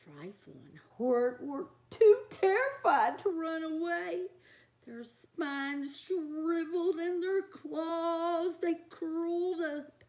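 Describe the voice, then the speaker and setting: A woman's voice: very high-pitched, animated, slow pace, a little mellow. A woman reading a storybook aloud for young children, expressively, with chatty asides to her listeners.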